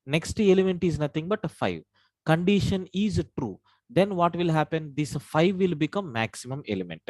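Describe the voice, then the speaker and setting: A man's voice: a little high, animated, moderate pace, darkish tone, poor audio. A man teaching an online programming class. He walks through each step and keeps calling his listeners "sir". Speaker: programming instructor. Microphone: own mic at a computer, online class.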